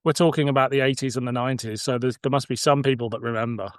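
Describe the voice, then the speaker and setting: A man with a British accent in relaxed, informal podcast conversation. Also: mid-range voice, fast talker, clean tone, dry recording, studio quality. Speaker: podcast host or guest. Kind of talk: casual chat.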